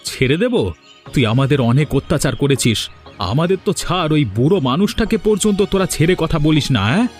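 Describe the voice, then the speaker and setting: An earnest, hardworking village man who has been wronged, in a cartoon, acted by a man. His voice is raised in pitch, over faint music. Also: lively intonation, brisk, natural voice. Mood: outraged. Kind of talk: cartoon voice acting.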